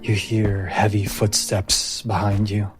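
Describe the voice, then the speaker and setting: A man narrating a fantasy tabletop game as game master, with measured, dramatic pauses. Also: fairly low pitch, monotone, over music.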